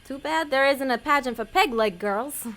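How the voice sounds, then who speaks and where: A woman playing a tough-talking, sarcastic demon hustler in an audio comedy drama, deadpan and streetwise. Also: sits high, normal speed.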